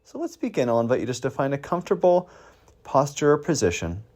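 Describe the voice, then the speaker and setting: A man leading a guided meditation as a mindfulness teacher, gently prompting with questions and pauses. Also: mid-range voice, very animated.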